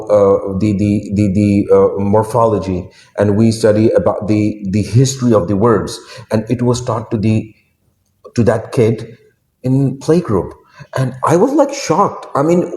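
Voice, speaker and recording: Urdu-speaking man from Pakistan, stumbling guest speaker; warm tone, faint hiss in a reverberant room. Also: mid-range voice. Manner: hesitant, repeats words, many fillers. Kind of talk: interview answers.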